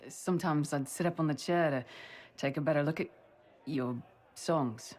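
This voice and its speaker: A woman voicing a good-natured young soldier in a video game, earnest and casual, with a husky voice. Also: low-pitched, moderate pace, a little mellow. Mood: bashful.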